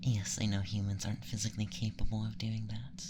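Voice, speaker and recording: A man playing a curious alien femboy in an ASMR roleplay, speaking softly and deliberately in a gentle, breathy near-whisper. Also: fairly low pitch, little pitch movement, noisy background, close to an everyday voice. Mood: gently explaining. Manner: deliberate, gentle, questionnaire-like delivery with pauses. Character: soft-spoken curious alien femboy conducting a formal assessment. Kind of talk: ASMR roleplay, close soft speech and whispering.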